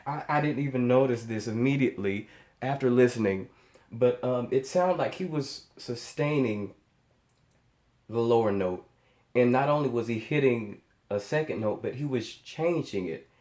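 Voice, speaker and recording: A man's voice: mid-range voice, moderate pace, darkish tone, reverberant. A man giving casual, off-the-cuff reaction commentary as a host, speaking with amazed emphasis and often repeating his words and phrases. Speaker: host of a music reaction channel.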